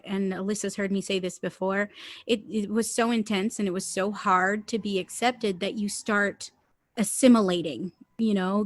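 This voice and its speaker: A woman's voice: mid-range voice, dry recording, clean studio sound. A woman from Puerto Rico whose first language is Spanish, telling stories animatedly and laughing often as a podcast guest. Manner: animated storyteller, laughs often, swears casually. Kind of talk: casual conversation.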